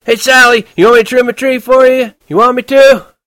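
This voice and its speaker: A man voicing a very drunk teenage boy shouting and pleading into a phone. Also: very high voice, steady tone, brisk, no room sound, studio quality, voice pushed up. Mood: drunk yelling.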